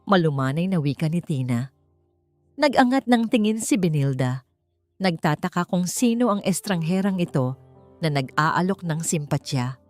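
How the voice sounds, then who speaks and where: Expressive woman narrating a dramatic story. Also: on the low side, normal speed, no room sound. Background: music.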